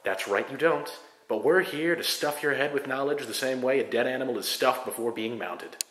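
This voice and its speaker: Deadpan male educational-film narrator, bright forceful voice. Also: medium pitch, normal speed, slightly rough. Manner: mock-serious, grandiose, deadpan. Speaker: narrator and host.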